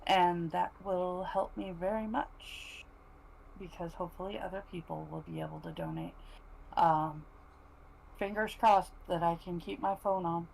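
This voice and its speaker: A woman's voice: on the low side, lively intonation, unhurried, gravelly, darkish tone, slight background noise, low-quality recording. A woman speaking casually as a co-host on a livestream. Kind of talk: casual chat.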